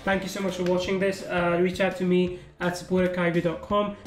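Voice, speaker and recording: A man casually walking through a software sales funnel on a screen recording, thinking aloud as he clicks. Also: sits high, little pitch movement, fairly quick, roomy sound. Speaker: software reviewer. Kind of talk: screen-recorded product walkthrough.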